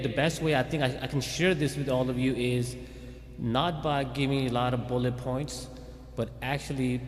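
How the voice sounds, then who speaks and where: A police chief giving an informal talk at a community event, speaking conversationally and often restarting sentences and checking in with "okay?". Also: male voice, mid-range voice, slight background noise. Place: Islamic center hall. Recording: reverberant hall from a livestream.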